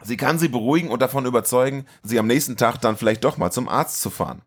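A man's voice: medium pitch, fast talker, breathy edge. A man co-hosting a podcast, recapping and chatting about a film in a casual, colloquial way. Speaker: podcast co-host.

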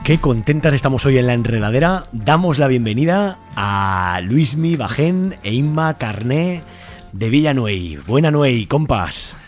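A man's voice: mid-range voice, lively intonation, a little slow, music in the background. A man with an accent from Spain hosting a casual radio interview in an effusive, playful way. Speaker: radio show host.

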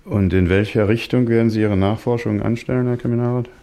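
A man's voice: medium pitch, fairly even intonation, fast talker, mellow, lightly acted. An actor playing an eager junior police detective in a radio crime play, earnest and respectful as he reasons aloud. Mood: earnest, reasoning. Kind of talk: scripted German radio crime drama.

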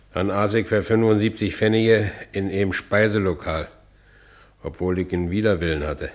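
A deep voice from a corner tavern, a middle-aged jailbird muttering defiantly into his glass and suddenly flaring up, a man acting it for radio. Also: natural voice. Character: down-and-out Berlin ex-convict man, brooding yet defiant. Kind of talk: radio drama acting, first-person narration.

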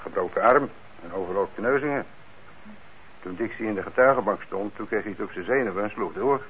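An actor playing a calm official in a radio drama, explaining things in a measured, conversational way. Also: male voice, on the low side, natural voice. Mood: matter-of-fact. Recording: dull, noisy old radio recording.

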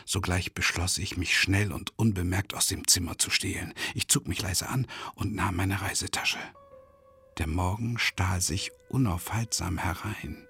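Gravelly, tormented man, brooding. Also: deep voice, measured pace, bright, forceful tone, dry recording, exaggerated voice. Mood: anxious, hushed. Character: tormented, self-doubting, impoverished male travelling lecturer. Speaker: first-person narrator. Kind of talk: dramatic radio play monologue.

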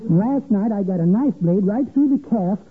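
A man's voice: high-pitched, expressive, moderate pace, slightly rough, heavily acted. An actor playing a meek, henpecked little American man, hesitant and apologetic, in old-time radio comedy. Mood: timid, flustered. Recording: tinny, faintly noisy old radio recording.

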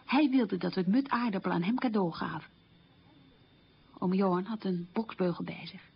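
A woman playing a resilient survivor of childhood poverty, recounting memories in a plain, unhurried monologue for radio. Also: medium pitch, gravelly, a little hiss or hum, natural voice. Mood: matter-of-fact. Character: resilient woman recalling a poor, hungry wartime childhood. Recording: dull, lo-fi radio recording.

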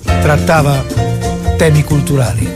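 Loud guitar music plays under an actor as a male chronicler in a stage drama. His voice is fairly high for a man, and he tells history solemnly in deliberate, declamatory phrases. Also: close to an everyday voice. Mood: solemn, storytelling. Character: male chronicler of the Cuban revolution, solemn storyteller. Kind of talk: scripted theatrical narration.

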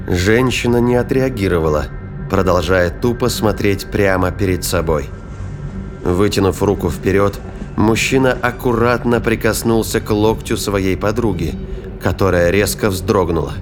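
A man's voice: lowish voice, moderate pace. A man narrating an audio drama in a dramatic, scripted storytelling style. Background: music.

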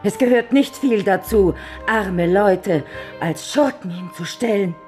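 A woman's voice: medium pitch, lively intonation, unhurried, a little mellow, soft background music, lightly acted. An actress playing a worried, plain-spoken peasant mother in a radio drama, anxious and direct. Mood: troubled, protesting. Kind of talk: German radio drama acting.

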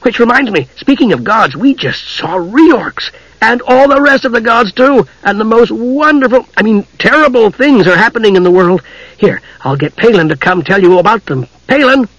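A man's voice: high-pitched, expressive, normal speed, a bit bright, dry recording, lo-fi sound, voice pushed up. A man voicing a small, naive, chatty kender adventurer who rambles eagerly, in a fantasy story reading.

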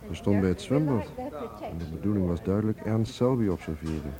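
Casting: suspicious, wry conspirator, low-pitched and courtly, radio play set amid crowd murmur. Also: male voice, animated, brisk. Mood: wary, sardonic. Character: wary, sardonic Russian schemer with gallant manners. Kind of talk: radio drama acting.

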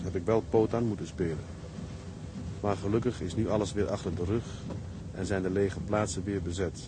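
Man acting earnest young seafarer, low-voiced, noisy backdrop. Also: close to an everyday voice. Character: earnest young ship's mate, devoted husband. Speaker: actor in a radio drama. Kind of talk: radio drama acting. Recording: noisy radio drama with ship ambience.

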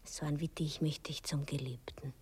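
An actress playing a brash, coaxing streetwalker in a radio play, with slangy, often hushed Viennese speech. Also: female voice, sits low, little pitch movement, a little fast, slightly rough. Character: brash, coaxing female streetwalker, earthy and teasing. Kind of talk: 1960s German radio play acting. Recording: noisy 1960s radio drama recording.

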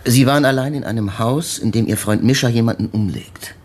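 An actor playing a shrewd, world-weary police inspector who probes insistently and explains step by step in a radio crime drama. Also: male voice, mid-range voice, fairly quick, darkish tone, close to an everyday voice. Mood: probing. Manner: probing, explains step by step, prodding questions.

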